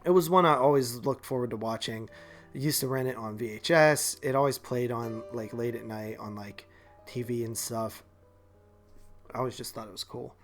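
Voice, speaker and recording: Guy on a movie podcast, medium pitch, super casual and slangy, bit of music behind him. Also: steady tone, normal speed. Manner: slangy, informal, heavy use of "like" and "man".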